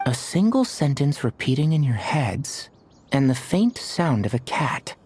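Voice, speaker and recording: A man voicing a gentle, dry-witted young healer who talks calmly and teases lightly. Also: mid-range voice, unhurried, slight background noise. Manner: calm, lightly teasing. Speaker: doctor.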